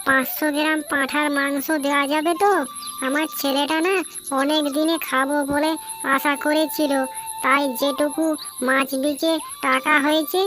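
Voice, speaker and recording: Casting: woman as humble cartoon mother, high voice, earnest street-seller manner, music underneath. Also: level tone, moderate pace, lightly acted. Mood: eager, pleading. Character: poor village mother selling fish, kindly and eager. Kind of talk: animated moral story acting.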